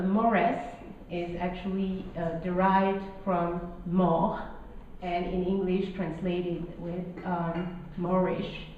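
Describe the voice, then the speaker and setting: A woman's voice: lowish voice, slight background noise. A middle-aged woman with a strong French accent giving a friendly, hesitant public lecture in a small gallery, full of fillers. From France. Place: small gallery room with guests. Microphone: handheld mic, far camera. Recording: roomy, distant, dull lo-fi.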